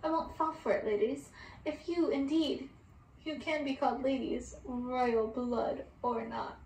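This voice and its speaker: An arrogant young storybook prince as a female reader plays him, sneering and disbelieving. The delivery is haughty and scoffing, high in register, with echo and faint noise around it. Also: fairly even intonation, measured pace, a little mellow. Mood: scornful. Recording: distant roomy mic with background hiss.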